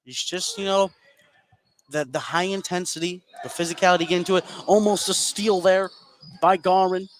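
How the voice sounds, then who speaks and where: A man's voice: sits high, normal speed. An American man doing live radio basketball play-by-play as the game's commentator. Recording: noisy gym ambience behind commentary.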